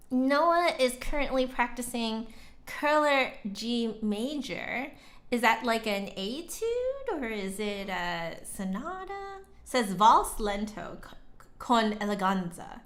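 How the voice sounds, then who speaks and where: A woman chatting casually as a livestream host and flute teacher, conversational, with hesitant pauses and emphatic repeats. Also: a little high, sing-song, fairly bright tone. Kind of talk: casual livestream chat, answering viewer comments.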